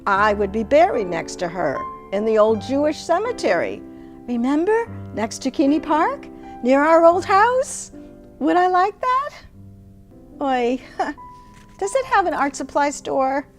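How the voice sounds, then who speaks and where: A middle-aged or older woman giving an expressive, theatrical reading of a personal story. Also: sits high, a little slow. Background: music.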